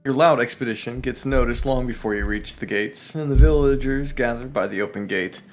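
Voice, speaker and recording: Man co-reading a story game, medium pitch. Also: fairly quick, faint music behind. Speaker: streamer co-reading a visual novel. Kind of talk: live reading of game narration with casual asides.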